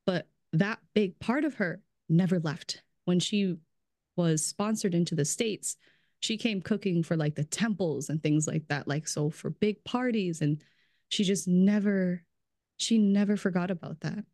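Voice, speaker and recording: A woman's voice: fairly low pitch, a little slow, a little mellow, dry recording. Woman guest, loose chatty delivery peppered with "like," close and dry sound. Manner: chatty, fills speech with "like", laughs often. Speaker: brand strategist guest. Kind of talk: casual podcast chat.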